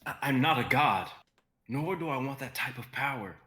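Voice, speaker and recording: A man plays an earnest male fantasy hero, determined and impassioned. The voice is bright and forceful, with halting, pleading delivery in a stage-reading style. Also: medium pitch, expressive, pitched above the performer's own voice. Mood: resolute.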